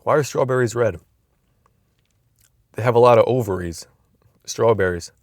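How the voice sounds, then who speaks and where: A man's voice: mid-range voice, normal speed, no room sound, clean studio sound. A young man vlogging from inside a car, musing aloud in a loose, rambling way with frequent restarts, recorded on a phone camera. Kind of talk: casual rambling vlog.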